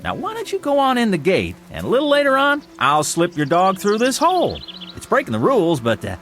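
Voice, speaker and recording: Wheedling heavenly gatekeeper, rural Southern drawl, high pitch, wildly swinging intonation, old TV drama. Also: male voice, wildly swooping pitch, a bit bright, soft background music, exaggerated voice. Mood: coaxing. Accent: rural Southern American. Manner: folksy, coaxing, drawn-out phrasing. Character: folksy, wheedling male gatekeeper of a false heaven. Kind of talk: vintage television drama acting.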